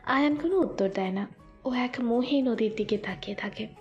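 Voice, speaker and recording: A woman's voice: a little high, moderate pace, a little mellow, highs cut off, soft background music. A woman narrating a scripted romantic audio story in the third person.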